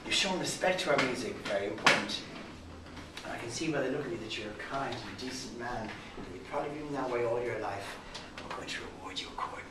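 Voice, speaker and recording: A man with an Irish accent voicing the king of the fairies, booming at first and then low and firm. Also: lively intonation, fast, close to an everyday voice. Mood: delighted. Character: merry commanding male king of the fairies. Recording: roomy, distant mic, background noise.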